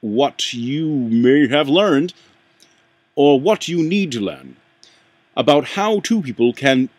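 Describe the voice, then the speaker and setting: Halting man reading aloud, lively pitch. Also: dry recording. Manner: halting, stumbles and rereads lines; puts on an accent while reading. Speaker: amateur reader.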